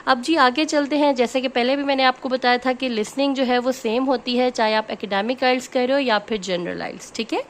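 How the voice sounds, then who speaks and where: A woman teaching a test-prep lesson. She explains each point step by step, mixes in English phrases and keeps checking in with her listeners. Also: a little high. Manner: switches between Hindi-Urdu and English phrases.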